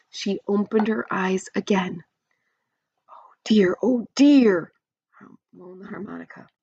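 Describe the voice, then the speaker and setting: A woman's voice: mid-range voice, no echo. Woman reading a children's story, dull recording. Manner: chatty asides with questions to young listeners. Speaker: teacher or parent reading aloud to children. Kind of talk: expressive children's story read-aloud.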